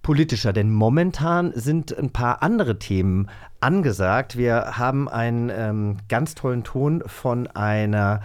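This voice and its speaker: A man's voice: mid-range voice, unhurried, clear, resonant tone. A man with a mid-range voice co-hosts a magazine show. He talks casually and chattily, with a self-deprecating streak. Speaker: podcast co-host.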